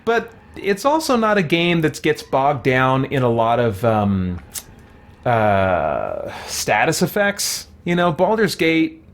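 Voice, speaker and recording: A man's voice: medium pitch, big pitch swings, slow. A man hosting a let's play with casual, conversational commentary, musing and easily amused. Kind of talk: casual gaming commentary with chat reading.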